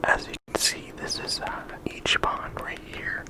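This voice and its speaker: Soft unvoiced ASMR whisper. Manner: soft, unhurried, stops and restarts often. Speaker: ASMR video creator. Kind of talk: ASMR whispered character discussion.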